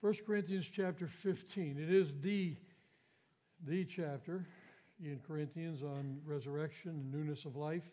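A man's voice: a little high, fairly even intonation, moderate pace, mellow, highs cut off. A man preaching an Easter sermon as pastor, with a big voice, emphatic and repeating his phrases. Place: church sanctuary.

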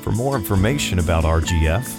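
A man with a low voice reads a formal intro calmly and evenly. Guitar music plays clearly behind him. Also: fairly quick. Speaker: announcer. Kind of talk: scripted intro announcement. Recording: announcement over guitar music.

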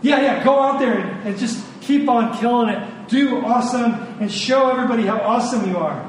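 A high-pitched man delivers an informal, earnest sermon. He repeats phrases for emphasis in a conversational way. The sound is heavily reverberant and picked up from a distance, with clear noise. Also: fairly quick. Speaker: preacher. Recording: very echoey room, distant mic, clear noise.

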